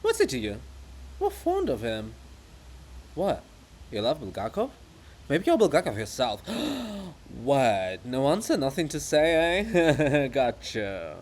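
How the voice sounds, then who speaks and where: A man voicing a mocking, excitable chorus of gossiping living monuments in a theatrical reading. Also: medium pitch, slow pace. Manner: jeering, excitable, taunting.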